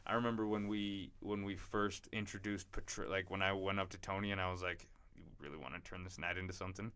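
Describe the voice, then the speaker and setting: A man's voice: low voice, steady tone, quick pace, sharp tone, clean tone. A man interviewing an old friend, speaking conversationally and often affirming him. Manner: conversational, frequent affirming backchannels. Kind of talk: casual interview chat.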